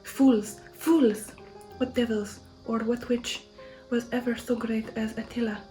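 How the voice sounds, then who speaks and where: A woman takes the part of an old vampire count in a gothic audiobook, proud and stately. The delivery is soft and half-whispered with a dark, mellow tone. Its pitch stays quite even as the count declaims. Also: unhurried, faint music behind, close to an everyday voice, poor audio. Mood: indignant pride. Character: proud old male vampire count boasting of warlike ancestry. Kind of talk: ASMR audiobook reading of a gothic novel.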